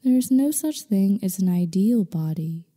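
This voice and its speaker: A woman guiding a meditation in slow scripted narration, with a soothing, unhurried, gently instructing manner. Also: medium pitch, lively intonation. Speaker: meditation guide. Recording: long reverberant hall-like echo.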